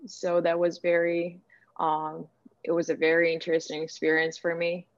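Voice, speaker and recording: A woman's voice: fairly low pitch, monotone, normal speed. A woman from Ukraine with a Ukrainian accent, answering interview questions casually and thinking aloud as she goes. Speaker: interviewee, actor and director. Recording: echoey room, dull lo-fi sound.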